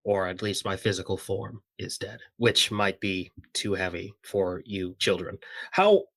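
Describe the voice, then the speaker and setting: A man's voice: low voice, lively intonation, a little slow, vocal fry, fairly bright tone, full character voice. A man playing a formal, urgent soldier's consciousness trapped in a box, using clipped military radio phrasing in a tabletop game. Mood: weary, insistent.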